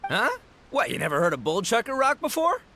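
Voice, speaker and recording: An actor playing a brash, boisterous young gang boss in a video game, bombastic, swaggering and full of slang. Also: male voice, very high-pitched, sing-song, fairly quick, bright, forceful tone, exaggerated voice. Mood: boastful. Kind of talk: animated video game voice acting.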